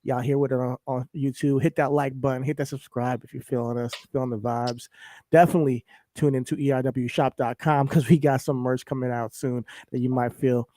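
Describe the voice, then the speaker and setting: New man, middle pitch, raspy from a cold, laid-back podcast co-host, dry close sound. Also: steady tone, brisk, mellow, dry recording. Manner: laid-back, laughs often. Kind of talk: casual wrestling podcast chat.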